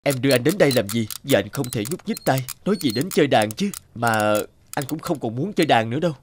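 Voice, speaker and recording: A man playing a guilt-ridden young male pianist in an animated drama, dejected and self-blaming. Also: medium pitch, fast, a little hiss or hum, lightly acted. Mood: despairing.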